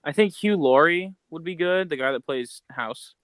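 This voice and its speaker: A man's voice: on the high side, animated, normal speed, a bit bright, no echo. A man co-hosting a podcast, talking in an informal, slangy way. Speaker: podcast co-host. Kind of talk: casual chat.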